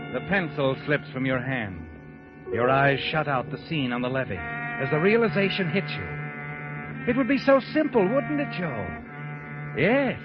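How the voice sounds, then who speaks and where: An actor playing a sardonic, ominous narrator with a dramatic, insinuating delivery in 1940s radio mystery style. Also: male voice, high-pitched. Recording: lo-fi 1940s radio recording, music behind.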